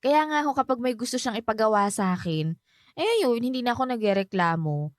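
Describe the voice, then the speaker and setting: A woman's voice: fairly high pitch, lively intonation, brisk, dry recording, clean studio sound, close to an everyday voice. An actress playing a cheerful, polite young Filipino woman in a radio drama, chatty and respectful toward an older family friend. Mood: bashful, apologetic. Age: young adult.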